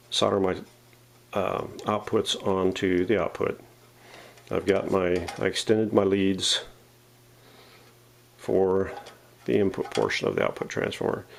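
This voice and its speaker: A man's voice: deep voice, gravelly, a little hiss or hum. A man hosting a casual, unscripted workshop demonstration, talking through each step as he handles the parts. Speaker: host of a vintage radio restoration channel. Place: home workshop.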